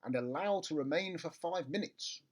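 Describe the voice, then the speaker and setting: A man voicing product labels in a storybook, with an emphatic, declarative sales-pitch delivery. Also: normal speed, natural voice. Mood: emphatic, promotional. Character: product label read out like a pompous advertisement.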